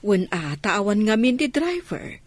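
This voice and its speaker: A woman acts a middle-aged Ilocano lady of a well-off house in a radio play. Her mid-pitched voice moves in lively swings, recorded close and dry. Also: measured pace, dry recording. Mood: inquiring. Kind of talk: radio drama acting.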